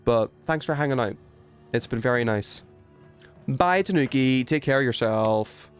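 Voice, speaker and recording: A man talks his way through a livestreamed game with an animated, mid-pitched voice. He thinks aloud in casual slang, often questioning himself and trailing off. Music plays faintly behind him. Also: measured pace, smooth. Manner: informal slangy speech. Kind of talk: casual livestream gameplay chat.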